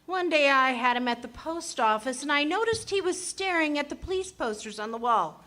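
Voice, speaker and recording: A woman's voice: high-pitched, lively intonation, moderate pace, sharp tone. A woman playing a genteel, haughty society lady who is condescendingly polite, in 1940s radio comedy style. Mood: proud storytelling.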